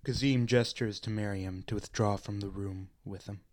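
A man's voice: fairly low pitch, steady tone, moderate pace, darkish tone. A man reading scripted stage directions and scene descriptions as the narrator of a radio play.

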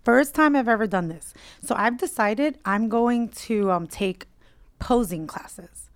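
Chatty higher-voiced woman co-host. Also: unhurried, dry recording. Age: 30s. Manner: animated, chatty, laughs a lot. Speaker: podcast co-host. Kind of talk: casual podcast chat.

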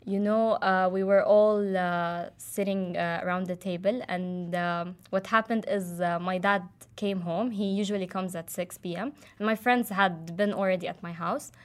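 A woman's voice: on the low side, steady tone, moderate pace, few pauses. A young woman from Jordan, casually telling a personal story with many fillers and restarts. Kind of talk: casual chat.

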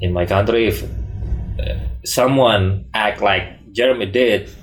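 Humble Indonesian-accented man, halting English. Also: lowish voice, faint music behind. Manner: humble, halting, repeats phrases in non-native English. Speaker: interview guest, magician. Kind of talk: casual interview conversation.

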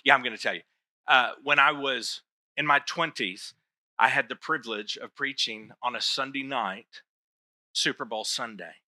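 A man's voice: mid-range voice, moderate pace, sharp tone, no room sound. A middle-aged man preaching a sermon to his church, talking in a loose, conversational way with self-deprecating asides. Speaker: pastor.

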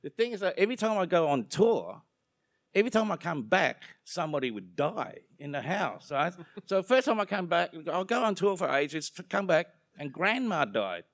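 A man's voice: high-pitched, sing-song, moderate pace, dry recording, studio quality. A young adult man with an Australian accent, being interviewed, talking casually and joking, drifting onto tangents. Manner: rambling, joking, self-deprecating, goes off on tangents.

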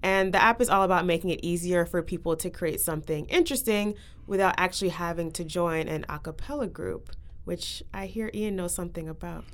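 A woman's voice: fairly low pitch, fairly quick, a bit bright. A young woman hosting a casual podcast, talking with guests and presenting trends. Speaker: podcast host.

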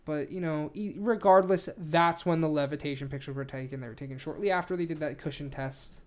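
A man's voice: fairly high pitch, a little fast, darkish tone. A man hosting a podcast in casual chat, swearing freely and rambling insistently as he repeats his points. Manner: profane. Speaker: podcast host.

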